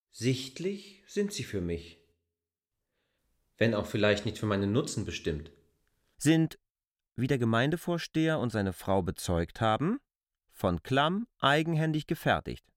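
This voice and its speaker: An actor playing an earnest, argumentative stranger in a literary radio drama, reasoning insistently in long, deliberate clauses. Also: male voice, medium pitch, no room sound, studio quality.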